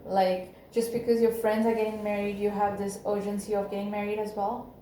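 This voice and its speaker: A woman's voice: mid-range voice, level tone, a little fast, dark tone, slight background noise. A woman acting as a counselor, asking tentative, probing questions. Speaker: counselor in a role-play. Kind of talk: counseling session. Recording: echoey room recording.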